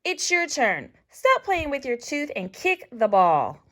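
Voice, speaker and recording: A woman voicing a bossy, impatient young boy in a children's storybook, calling out commands loudly. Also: high-pitched, huge pitch swings, measured pace, pitched above the performer's own voice, full character voice. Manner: commanding, loud. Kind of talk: children's storybook read-aloud.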